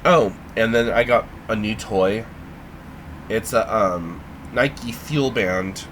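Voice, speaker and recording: A man vlogging in a casual, rambling way, halting with lots of ums and sounding a little congested. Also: on the low side, a bit bright, slight background noise. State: congested, feeling sick.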